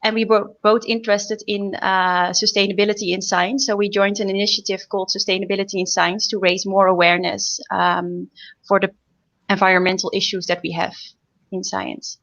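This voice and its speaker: A young woman speaking as a guest researcher on an online panel, explaining informally with a halting delivery. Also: mid-range voice, little pitch movement, a bit bright. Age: young adult. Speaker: guest, PhD student researcher. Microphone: video call. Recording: dull, lo-fi video call audio.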